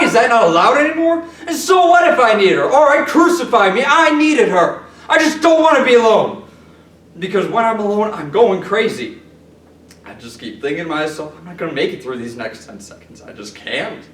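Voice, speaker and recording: A man performing a stage monologue as an anguished, self-pitying lovelorn neurotic with a darkly wry streak and emphatic, halting delivery. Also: high voice, fairly bright tone. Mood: desperate, outraged. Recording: roomy, distant mic, background noise.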